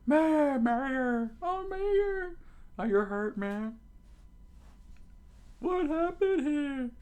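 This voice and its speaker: Guy doing angry villager from a storybook, crazy high shrill voice, yelling and worked up. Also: lively intonation, very slow, exaggerated voice. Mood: distraught. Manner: shrill, shouting. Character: angry, alarmed townspeople mob loyal to their mayor.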